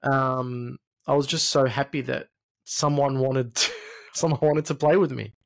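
A man in his late thirties from Egypt and Australia, a podcast guest sharing personal stories in a reflective, halting way with repeated phrases. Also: medium pitch, steady tone, creaky. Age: late 30s. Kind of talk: personal storytelling in conversation. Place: small home studio, indoors. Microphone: close podcast mic on arm.

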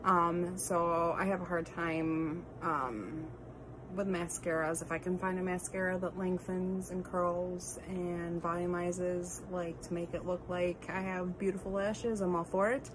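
A woman in her thirties with a lower voice talks through her makeup routine, a little nasal and congested, full of ums and small apologies. She speaks close to a phone in her bedroom. A steady hiss sits under the recording. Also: unhurried. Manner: chatty, rambling, many ums, apologetic asides. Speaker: beauty vlogger. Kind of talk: casual makeup tutorial. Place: bedroom at home, indoors. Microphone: phone camera held close.

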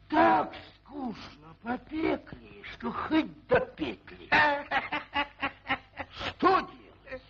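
An actor playing a brooding, swaggering Cossack in an old cartoon, gravelly and dramatically telling folk tales. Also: male voice, very high voice, heavily acted. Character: brooding, swaggering Cossack storyteller with a troubled soul. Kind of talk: theatrical Soviet cartoon acting. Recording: dull old film soundtrack.

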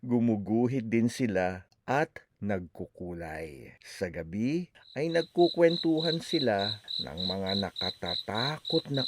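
A man reading a children's story aloud with expressive, animated narration. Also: on the low side, heavy background noise.

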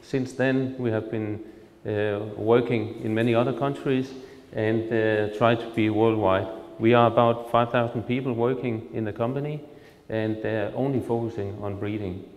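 A man in his fifties with a Danish accent, speaking English as a second language, gives a presentation to farmers into a handheld mic, pausing often with filler sounds as he searches for words. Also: on the low side, fairly even intonation, a little mellow. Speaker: seed company product manager. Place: large hall with projector screen. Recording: long reverb from a large hall.

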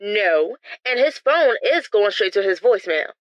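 A woman playing a worried, bossy mother with a brisk, insistent manner in a comic audio drama. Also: medium pitch, big pitch swings, no echo. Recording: dull lo-fi sound.

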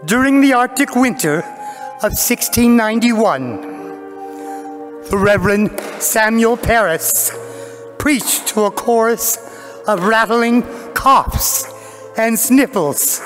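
An older man narrates a stage show at a lectern microphone in a large church hall, with music behind him. His voice is high for a man and extremely slow, each phrase set apart by dramatic pauses. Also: lively intonation, a bit bright. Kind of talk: theatrical scripted narration.

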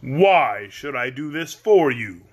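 A man voicing a great stern wizard, a giant head, in a storybook, with a commanding, emphatic manner and drawn-out words. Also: medium pitch, very animated, slightly rough, heavily acted. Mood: stern, demanding. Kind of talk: storybook character reading.

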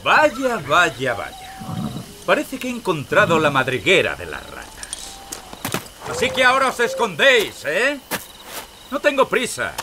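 An actor playing a gloating sheriff, taunting and menacing in a theatrical audio drama. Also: male voice, high voice, wildly swooping pitch, a little slow, noisy background, heavily acted. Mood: mocking. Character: gloating, sneering villain sheriff hunting outlaws. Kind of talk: dramatized audio fiction acting.

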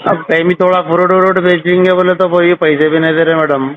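Man, Hyderabadi accent, exasperated rambling complaint, plaintive, tinny narrowband phone audio, fairly high voice. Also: little pitch movement, fast talker. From Hyderabad Old City, India. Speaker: called customer. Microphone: telephone. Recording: noisy narrowband phone call recording.

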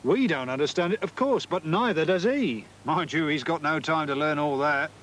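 A sly scoffing medieval peasant. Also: male voice, a little high, animated, moderate pace, a bit bright, slight background noise. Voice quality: mocking, wry. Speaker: peasant character. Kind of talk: educational drama acting. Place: outdoors under trees.

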